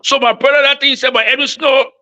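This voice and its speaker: A man's voice: very high voice, fairly even intonation, racing, pushed and bright, muffled recording. A man arguing politics with passion and repeating his points for emphasis. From Liberia. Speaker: panel speaker. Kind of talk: heated political argument.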